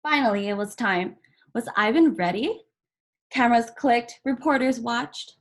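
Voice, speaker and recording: Higher-voiced woman reading a children's book aloud. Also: lively intonation, a little slow. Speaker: volunteer story reader.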